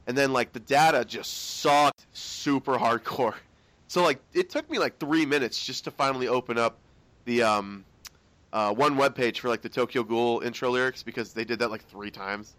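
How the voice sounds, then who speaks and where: A young man in casual chat, excited and rambling, swearing freely. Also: mid-range voice, moderate pace, no echo.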